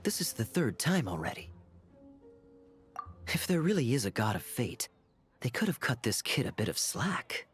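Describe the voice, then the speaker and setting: A man's voice: medium pitch, big pitch swings, moderate pace, long pauses, natural voice. A man voicing a suave, laid-back young swordsman in a video game, sardonic and playfully teasing. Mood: wry. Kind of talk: video game character dialogue. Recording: faint music behind.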